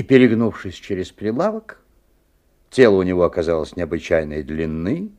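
Husky, animated father telling a tale. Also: lowish voice. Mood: intrigued. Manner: measured, expressive storytelling. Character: observant, faintly bemused father narrating a magical tale. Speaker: narrator. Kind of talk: dramatised literary narration for radio play.

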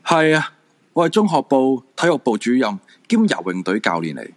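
A man's voice: medium pitch, huge pitch swings, normal speed. A man playing a blunt, sceptical husband and swimming coach in a radio drama, with a Hong Kong Cantonese accent. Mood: matter-of-fact.

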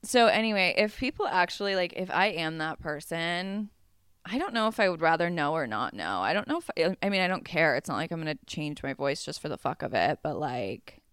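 A young woman co-hosting a casual podcast, talking in a monotone vocal fry, swearing freely and laughing at herself. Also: sits low, a little fast, no room sound, clean studio sound. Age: young adult. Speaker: podcast co-host.

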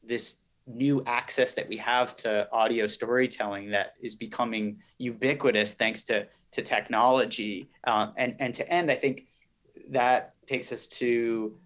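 A man's voice: mid-range voice, fairly even intonation, unhurried, a bit bright. Articulate man giving an interview answer. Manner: articulate, business jargon. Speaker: podcast company chief executive, interview guest. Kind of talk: interview conversation, explanatory.

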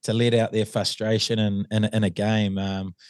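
A New Zealand man with a fairly low, slightly hoarse voice, chatting as a guest. He hesitates a lot, with ums and false starts. The sound is dry and close, with no room around it. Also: flat delivery, moderate pace, a little mellow, no room sound. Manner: hesitant, many ums and restarts. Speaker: assistant rugby coach, guest. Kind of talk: casual interview chat.

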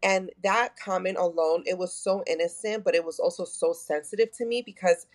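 A woman's voice: fairly low pitch, normal speed. A woman in her thirties with a Texan accent, talking openly as a podcast guest, full of fillers and acted-out quotes. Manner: open, animated, many fillers, acts out quotes. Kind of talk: candid conversational storytelling.

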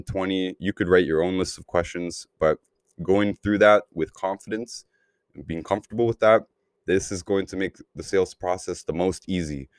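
A man's voice: low-pitched, a little mellow, no room sound, studio quality. A man speaking as a sales mentor in an informal training talk, with an instructive, conversational and emphatic way of explaining.

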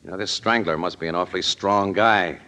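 An actor playing a breezy, slangy crime photographer in a 1940s radio drama. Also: male voice, low-pitched, steady tone, brisk, pushed and bright. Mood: grave. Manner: casual, wry, slangy. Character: breezy, wisecracking male newspaper crime photographer. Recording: old radio recording with music.

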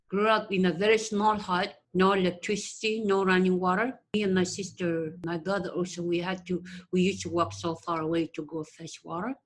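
Low-voiced Ugandan woman recalling her life. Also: poor audio. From northern Uganda. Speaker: interview guest telling her life story. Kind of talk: personal recollection in conversation. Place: remote video call from a city home.

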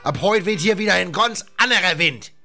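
A man voicing a gruff, bossy pirate captain in an audiobook, barking orders in colloquial slangy speech. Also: high-pitched, lively intonation, fairly quick, sharp tone, faint music behind, voice pushed up, exaggerated voice. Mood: angry, commanding. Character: gruff, bossy one-eyed male pirate captain, middle-aged.

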